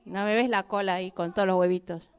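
A woman's voice: medium pitch, steady tone, quick pace, clear, resonant tone, lightly acted. A woman with an Argentine accent voicing a chatty, sentimental mother cockroach in improvised comic radio theatre, repeating herself coyly. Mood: earnest, confiding. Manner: repetitive, coy, hesitant, conversational asides.

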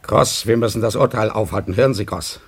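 A man acting in a German radio drama as a bitter, contemptuous accuser with a husky voice, vehement and commanding. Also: lowish voice, brisk. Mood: grim, commanding.

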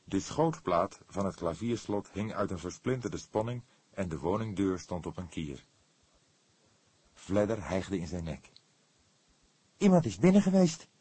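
A man's voice: low-pitched, a little slow, darkish tone, husky, no echo. A man narrating a scripted detective story as an audiobook reader.

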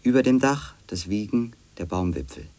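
A low-pitched, brooding man played by an actor, a former editor in a radio drama. He speaks in a measured, literary, introspective way, insistent beneath it, and there is background noise on the recording. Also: lively intonation, natural voice. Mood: brooding, observant. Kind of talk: German radio play acting and narration.

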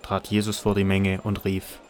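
A man's voice: low-pitched, completely flat delivery, normal speed, a little mellow. A man reading scripted narration for a Bible audio drama.

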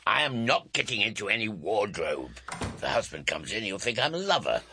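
An actor playing a fussy, bumbling, self-important older grandfather in a radio comedy drama, with a gravelly, husky voice and a hesitant, self-justifying manner. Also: fairly low pitch, sing-song, fairly quick, bright, forceful tone, a little hiss or hum, exaggerated voice. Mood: flustered, indignant. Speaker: actor, lead and narrator.

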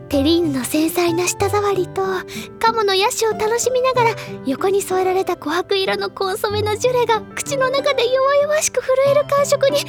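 A high-pitched, bookish teenage schoolgirl from a Japanese audio drama. She is earnest and refined, savoring her words with effusive, gently persuasive phrasing. Music plays clearly behind her. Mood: rapturous. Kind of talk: Japanese audio drama, anime-style acting.

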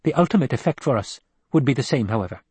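A male narrator puts on a clever, scheming adviser in an audiobook, pitched well above his own voice. The delivery is formal, exact and supercilious, in a clean, dry recording. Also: lively intonation, quick pace, a little mellow, husky, dry recording. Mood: coolly analytical. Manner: precise, formal, higher-pitched exposition. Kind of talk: audiobook character acting.

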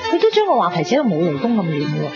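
A woman's voice: fairly low pitch, wildly swooping pitch, rapid-fire. An actress playing a bold, stubborn young woman in a 1990s Hong Kong radio drama, speaking colloquial Hong Kong Cantonese with sighs and exclamations. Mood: scheming, brazen. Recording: muffled 1990s radio broadcast with music.

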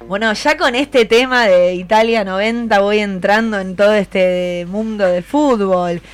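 A woman's voice: mid-range voice, measured pace, smooth, soft background music. A woman with a Rioplatense Argentine accent hosting a conversational radio interview, encouraging and full of questions. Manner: encouraging, asks many questions. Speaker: radio host.

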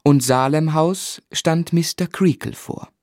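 Reflective storyteller, dry studio sound. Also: male voice, a little high, darkish tone, no room sound. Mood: wry, measured. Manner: measured, articulate, wryly reflective. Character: reflective grown man narrating his boyhood memories. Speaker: narrator. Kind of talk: literary scripted narration in a radio drama.

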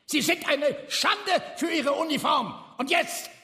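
An actor playing a haughty, sardonic, quick-tempered eccentric in a radio drama, articulate and theatrical in his delivery. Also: very high voice, vocal fry, bright, forceful tone, full character voice. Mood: indignant, furious. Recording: echoey, reverberant room.

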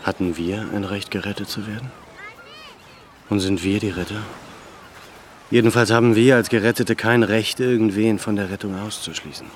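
A man acting a serious, weary leader in a radio drama. The voice is low, reflective and used to giving orders. Faint background ambience surrounds it. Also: steady tone, moderate pace, long pauses, slight background noise, close to an everyday voice. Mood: sober, firm. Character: weary, serious male leader longing for solitude.

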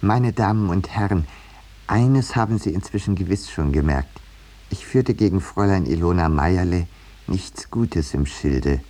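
An actor playing a scheming, smooth-talking man in a 1950s German radio drama, mock-polite and ironic with small hesitations. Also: sits low, lively intonation, a little slow, dark tone, slight background noise. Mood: wry, narrating. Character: scheming, smooth-talking man bargaining away his troublesome cousin. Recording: vintage 1950s radio recording.

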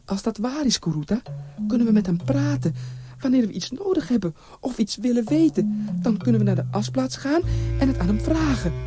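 A man's voice: very high-pitched, animated, a little fast, warm tone, soft background music, voice pushed up. A man voicing a worried, trusting village wife and mother in a story, earnest and questioning. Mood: eager. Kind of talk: storybook character voice.

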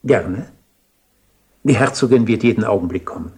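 An actor playing an urbane, contemplative bishop in a radio play, genial and musing in manner. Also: male voice, fairly low pitch, normal speed, a little mellow, lightly acted. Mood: urbane, curious. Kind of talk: German radio play acting.

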